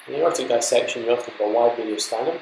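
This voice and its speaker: A man's voice: mid-range voice, racing, vocal fry, darkish tone, roomy sound, slight background noise, poor audio. A man giving an informal, explanatory slide lecture to trainee doctors, talking them through examples in an instructive way. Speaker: medical lecturer.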